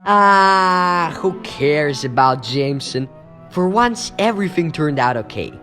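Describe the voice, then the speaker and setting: Male actor as earnest teenage superhero, comic book dub, raised pitch, lively melodramatic delivery, anxious, hot-tempered. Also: takes it slowly, soft background music, exaggerated voice. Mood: relieved, eager. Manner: dramatic thinking aloud.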